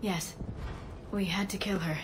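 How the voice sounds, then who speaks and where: An actress playing a gentle young alien scientist who speaks softly and earnestly, worried for her people. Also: mid-range voice, fairly even intonation, unhurried, heavy background noise, close to an everyday voice. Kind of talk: video game cutscene acting.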